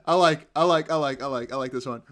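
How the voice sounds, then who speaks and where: A man with a Dutch accent, a native Dutch speaker, giving chatty, excitable live commentary on a court hearing. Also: mid-range voice, fast talker. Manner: excitable, chatty, laughs often. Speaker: crypto news streamer.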